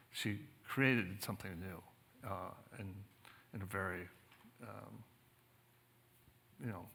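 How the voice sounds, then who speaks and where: Man, author at book talk, lowish pitch, bright sharp tone, halting conversational delivery, searching for words. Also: creaky, slightly rough. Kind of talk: conversational panel discussion. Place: museum theater, on stage.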